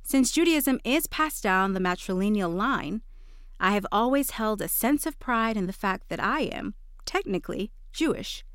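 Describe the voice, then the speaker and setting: Clear-voiced woman reading a devotional. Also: medium pitch, lively intonation, normal speed, dry recording, clean studio sound. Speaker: devotional podcast reader.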